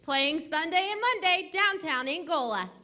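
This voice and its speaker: 1940s radio ad girl played by a woman: high, bright, selling with bouncy delivery. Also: animated, normal speed, exaggerated voice. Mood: cheery selling. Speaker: radio sponsor announcer. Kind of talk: scripted 1940s radio advertising.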